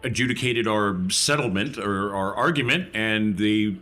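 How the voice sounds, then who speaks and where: A man's voice: on the low side, measured pace, sharp tone. A man playing a tabletop roleplaying game, talking through his character's plans in casual, improvised chat.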